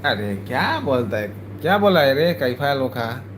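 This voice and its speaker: A man's voice: medium pitch, sing-song, a little slow, background noise. Playful man with a Manipuri accent. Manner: playful, teasing, in colloquial Hindi sprinkled with "re bhai". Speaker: vlogger. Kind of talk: casual vlog chat.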